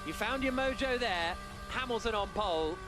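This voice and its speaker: High-voiced male race commentator. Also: over music. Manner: brisk, excited play-by-play. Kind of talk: live motor racing commentary.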